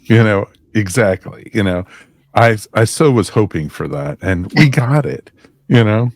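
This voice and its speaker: Male co-host, very deep voice, playful and enthusiastic, dry recording. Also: expressive, a little slow, dry recording. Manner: enthusiastic, jokey. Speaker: podcast co-host. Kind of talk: casual review chat.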